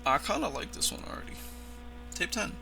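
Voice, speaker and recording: Halting man reacting over loud music. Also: high voice, very animated, gravelly. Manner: halting reader, stumbles over words and corrects himself. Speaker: reaction video host. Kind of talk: casual reaction commentary, reading on-screen text aloud.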